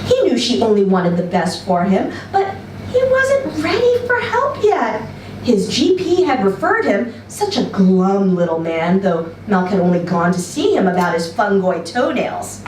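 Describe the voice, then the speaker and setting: A woman giving an expressive literary narration as a reader at a live story event. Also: medium pitch, a little slow. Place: social venue, live reading. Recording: roomy, distant mic, faint noise.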